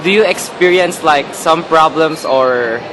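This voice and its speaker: Male interviewer, halting questions, somewhat high voice, noisy background. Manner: hesitant, halting questions with many ums. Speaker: student interviewer. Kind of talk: oral history interview.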